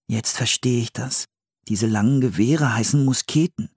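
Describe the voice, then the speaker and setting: A man's voice: medium pitch, normal speed, darkish tone, breathy edge, dry recording, studio quality, lightly acted. A man voicing an eager, clumsy, easily embarrassed young boy in a children's audiobook. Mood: earnest.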